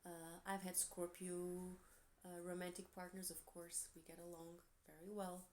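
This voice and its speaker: A woman with a Portuguese accent, gentle and encouraging, giving a tarot reading with soft asides and self-corrections. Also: lowish voice, completely flat delivery, measured pace, a little hiss or hum. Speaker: tarot reader.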